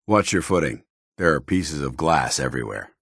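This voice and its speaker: An actor playing a stern, principled military paladin in a video game, speaking in a formal, earnest, commanding soldierly way. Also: male voice, rumbling low, animated, moderate pace, gravelly, fairly bright tone, breathy edge, no echo, studio quality, exaggerated voice. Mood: watchful, businesslike. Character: stern, principled male military paladin, loyal to his order. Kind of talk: video game companion dialogue.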